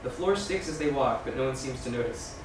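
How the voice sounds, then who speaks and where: Casting: male reader of stage directions, quick scripted narration, far from mic in a noisy room. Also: medium pitch, animated. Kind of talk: scripted narration at table read. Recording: distant, very echoey room with background noise.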